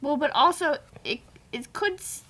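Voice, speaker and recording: A woman's voice: high-pitched, expressive, moderate pace, background noise. A woman voicing a playful bird mascot, a cheeky and eager coding sidekick in casual banter. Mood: curious, helpful. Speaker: guest co-host pair programmer.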